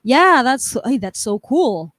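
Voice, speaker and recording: A young woman from the United States talks slowly and unhurriedly on a live stream, her voice sitting a little high. She reads out viewer comments and chats about them, often laughing and restarting her sentences. The sound is dry and close, with no room echo. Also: sing-song, a bit bright. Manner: chatty, laughs often, trails off and restarts while reading chat. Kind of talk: casual chat reading viewer comments.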